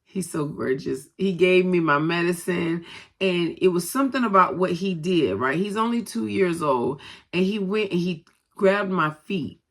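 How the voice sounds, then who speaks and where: A woman's voice: on the low side, unhurried. A grandmother and co-pastor with a stuffy nose from a head cold, chatting casually to her viewers with many "um"s. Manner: conversational, many "um"s, talks straight to viewers. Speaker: vlogger and co-pastor. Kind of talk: casual personal vlog talk.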